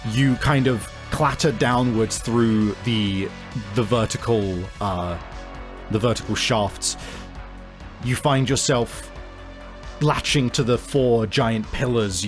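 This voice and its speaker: A man narrating a tabletop game as game master, improvising and thinking aloud in a halting way. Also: on the low side, music in the background.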